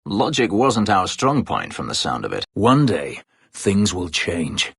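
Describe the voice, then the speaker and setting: An actor playing a brooding, bitter escaped-slave elf warrior in a fantasy video game, terse, guarded and drily sardonic, with a gravelly voice. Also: male voice, huge pitch swings, unhurried, fairly bright tone, no room sound, studio quality, exaggerated voice. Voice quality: gravelly, husky low voice. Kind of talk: dramatic fantasy video game character dialogue.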